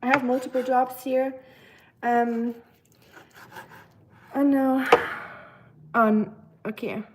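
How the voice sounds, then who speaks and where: A woman's voice: sits high, fairly even intonation, slow, long pauses, background noise. A young woman chatting casually on a live stream while cooking at home, reading comments with lots of fillers and giggly asides. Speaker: livestreamer.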